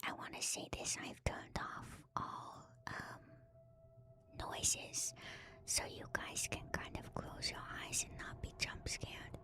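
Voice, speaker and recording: Slow whispering woman, ASMR style. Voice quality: soft, breathy whisper. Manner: hushed, intimate, rambling asides to viewers. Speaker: streamer host. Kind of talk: ASMR lore reading with casual commentary. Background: music.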